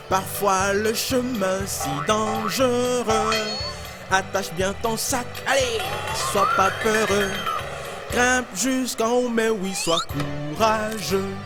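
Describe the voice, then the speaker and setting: A woman's voice: mid-range voice, takes it slowly, close to an everyday voice. A woman playing an upbeat encourager of small children, delivering rhythmic verses in a cartoon song. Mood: encouraging. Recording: loud music behind.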